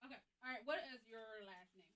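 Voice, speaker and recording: A woman's voice: a little high, quick pace, fairly bright tone. A woman co-hosting morning radio in a small studio, chatty and giggly, taking a prize winner's details. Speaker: radio co-host, handles callers. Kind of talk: casual radio banter, phone with a winner.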